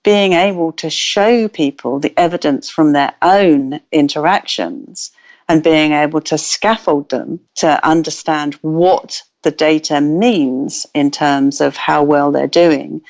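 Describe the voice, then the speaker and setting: A woman researcher giving a measured, thoughtful explanation in an interview. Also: deep voice, a little mellow. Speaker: researcher guest. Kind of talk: interview answer. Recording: dull lo-fi audio.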